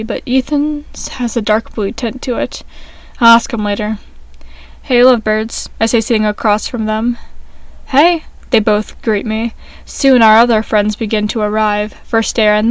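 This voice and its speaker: A woman narrating a first-person fan fiction story aloud in a steady, even reading. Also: on the high side, no room sound.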